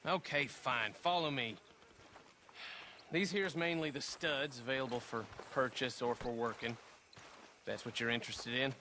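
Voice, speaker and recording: A gruff, hostile stable hand, with a sharp, tense tone. A faint hum sits behind him. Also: male voice, mid-range voice, measured pace, long pauses. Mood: surly. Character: surly suspicious male stable hand. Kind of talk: game cutscene acting.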